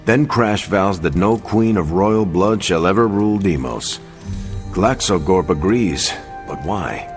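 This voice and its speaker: A low-voiced, inquisitive hero. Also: male voice, expressive, measured pace, faint music behind. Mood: puzzled, curious. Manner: inquisitive, matter-of-fact. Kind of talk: video game cutscene dialogue, natural conversational acting.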